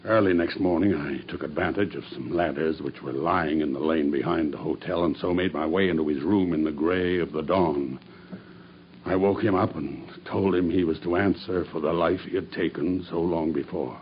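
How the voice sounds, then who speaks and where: An actor playing a grim, vengeful murderer making a deliberate, matter-of-fact confession in a radio drama. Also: male voice, a bass voice. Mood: steady confession. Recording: dull radio drama recording with background noise.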